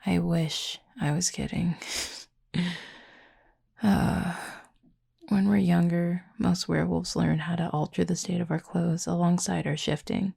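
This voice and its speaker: Woman voicing a husky, flirty werewolf, deeply gravelly. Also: little pitch movement, mellow, exaggerated voice. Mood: wry storytelling. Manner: dry, teasing, unhurried, wordy. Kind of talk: intimate audio roleplay, late-night chat.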